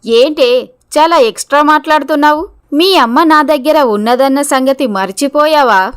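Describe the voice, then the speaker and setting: A woman's voice: high-pitched, normal speed, lightly acted. A woman voicing young female characters, schemers and their victims, in a dramatised moral story. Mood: menacing, demanding. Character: scheming, greedy, threatening woman blackmailer and her frightened young victim.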